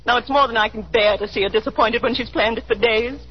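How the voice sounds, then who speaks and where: A woman's voice: fairly high pitch, fairly even intonation, quick pace, husky. An actress playing a fretful, doting middle-aged mother who coaxes, fusses and pleads in the manner of 1930s radio drama. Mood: pleading. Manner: coaxing, pleading, fussing. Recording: muffled, slightly noisy 1930s radio broadcast.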